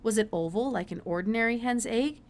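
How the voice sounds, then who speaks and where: A woman voicing a brisk, curious, absent-minded older male scientist in a storybook, with hesitant mutters and emphatic exclamations. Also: a little high, natural voice.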